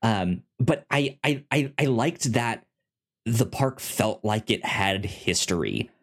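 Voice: medium pitch, big pitch swings. A podcast co-host chatting casually about a show, often repeating and stuttering on the first sounds of words. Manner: halting, repeats and stutters on word starts. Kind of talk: casual podcast review chat.

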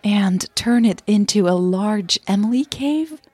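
A woman voicing a shy, happy woman in love in an audio drama, softly and a little hesitantly. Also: mid-range voice, expressive, a little slow, husky, dry recording, pitched above the performer's own voice. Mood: teasing.

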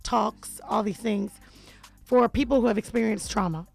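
A woman hosting a radio show in casual chat, with a deep raspy voice that is a little hoarse today, and an animated way of giving shout-outs to listeners. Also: normal speed, faint music behind. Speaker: radio host.

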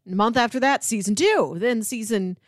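A woman's voice: a little high, normal speed, no echo. Casual woman podcast co-host, dry close sound. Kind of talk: casual film and TV podcast chat.

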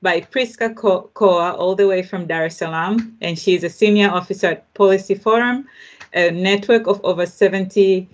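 A woman hosting a podcast, introducing the subject in a deliberate, halting way as she thinks aloud. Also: mid-range voice. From Tanzania. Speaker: podcast host.

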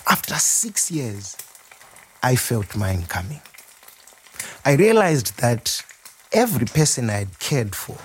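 An actor playing a warm, proud, playful nurse turned chef in an expressive audio monologue. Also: male voice, mid-range voice, breathy edge, full character voice. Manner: expressive, reflective, wryly humorous. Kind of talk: dramatic first-person monologue.

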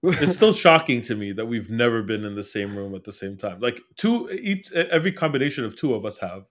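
Man, medium pitch, cheerful host, laughs a lot, casual chat. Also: sing-song, fairly quick. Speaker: podcast host.

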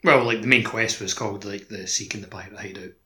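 A man's voice: on the low side, steady tone, fast talker, bright, forceful tone. A man co-hosting a podcast, chatting casually about a video game in loose, informal phrasing. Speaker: podcast co-host.

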